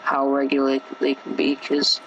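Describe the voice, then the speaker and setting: A teenage boy with a sore, croaky throat, rambling casually through gameplay commentary with many fillers and restarts. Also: medium pitch, completely flat delivery, normal speed, fairly bright tone, lo-fi sound, a little hiss or hum. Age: teens. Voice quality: gravelly.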